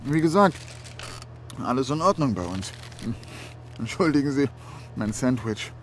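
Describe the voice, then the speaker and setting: An actor playing an affable but evasive psychiatric night nurse in a horror radio drama, overly reassuring and hesitant. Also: male voice, mid-range voice, big pitch swings, unhurried, a little hiss or hum.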